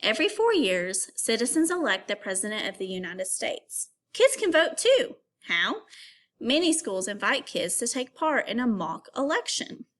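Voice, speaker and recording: A woman teacher reading aloud to young pupils and explaining as she goes, in an instructive, clearly phrased way. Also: on the high side, sing-song, moderate pace, fairly bright tone. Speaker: elementary school teacher. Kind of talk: read-aloud reading lesson for children.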